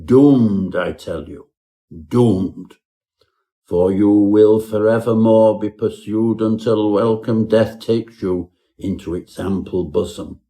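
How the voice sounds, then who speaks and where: Man intoning as an ominous, low-voiced curse-giver. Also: steady tone, takes it slowly, mellow. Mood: ominous, foreboding. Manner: portentous, melodramatic. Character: ominous manuscript author pronouncing a curse.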